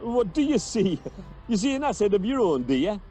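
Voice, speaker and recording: A foolish, cocky rustic played by an actor blusters and chuckles through comic Shakespearean radio drama. The voice is mellow and very animated, swinging up and down, with music behind. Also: mid-range voice, normal speed, close to an everyday voice. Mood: blustering bravado. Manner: blustering, chuckling, wildly swooping delivery. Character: cocky, foolish rustic man with a donkey's head.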